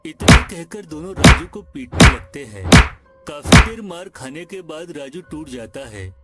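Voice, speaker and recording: A man's voice: fairly high pitch, big pitch swings, measured pace. A man reading scripted narration for a cartoon moral tale as its storyteller. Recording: music behind the voice.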